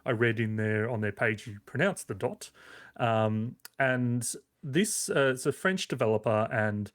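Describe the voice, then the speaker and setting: A man's voice: mid-range voice, measured pace. A man giving a casual, conversational game review as a podcast reviewer, rambling a little with hesitant but enthusiastic phrasing.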